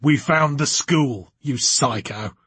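A man's voice: mid-range voice, lively intonation, a little fast, fairly bright tone, breathy edge, no room sound. Man playing a blustering, angry male boss. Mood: angry, brusque. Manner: brusque, sarcastic, humorless.

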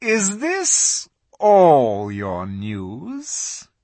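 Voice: medium pitch, wildly swooping pitch, drawn-out words, a little mellow, dry recording, pitched above the performer's own voice, heavily acted. A reader voicing a cruel, haughty witch queen who gives commands in a terrible voice, in an audiobook. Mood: imperious. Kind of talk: audiobook character voice.

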